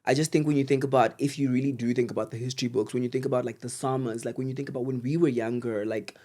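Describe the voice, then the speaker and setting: A man's voice: mid-range voice, steady tone, very fast, darkish tone. Young male co-host, mid-range voice, chatty and emphatic. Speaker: podcast co-host. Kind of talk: casual chat interview.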